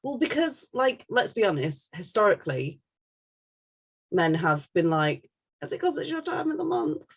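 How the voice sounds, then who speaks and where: A woman's voice: mid-range voice, very animated, normal speed, vocal fry, breathy edge. A woman chatting casually on a podcast in a frank, teasing way as she questions someone. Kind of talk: casual chat.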